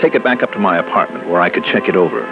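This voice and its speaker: An actor playing a breezy, wisecracking American insurance investigator in a punchy, theatrical old-time radio style. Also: male voice, low voice, very fast, lightly acted. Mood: musing, confiding. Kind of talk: mid-century American radio detective acting. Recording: dull old radio recording, music behind.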